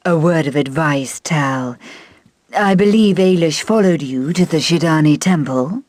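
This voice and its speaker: Game cutscene role: imperious royal mother, deep female register, dry sound, absolute authority. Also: a little mellow, no room sound. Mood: stern. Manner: commanding, measured. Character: stern, imperious queen and mother. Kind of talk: video game cutscene acting.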